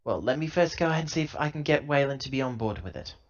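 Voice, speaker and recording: A man playing a tabletop role-playing game, improvising dialogue and narration in a halting, self-correcting, emphatic way on a lo-fi recording. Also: medium pitch, animated. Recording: dull lo-fi laptop recording.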